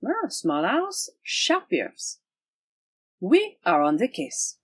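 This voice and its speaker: A woman's voice: medium pitch, huge pitch swings, slow, husky, no echo, studio quality, full character voice. A woman playing a proud, teasing swashbuckling swordswoman in an audio drama, with a theatrical, imperious manner. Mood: resolute. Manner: theatrical, formal phrasing, imperious.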